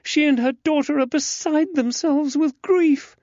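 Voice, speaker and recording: Male reader doing a distraught young gentlewoman, high and breathy, storybook read, dry close sound. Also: unhurried, no echo. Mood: distressed. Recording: dull lo-fi, dry.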